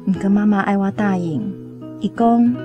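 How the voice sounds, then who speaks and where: A woman's voice: medium pitch, moderate pace, music in the background, lightly acted. A woman with a Taiwanese accent playing a sorrowful young chieftain's daughter in a radio drama. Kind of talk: first-person radio drama monologue.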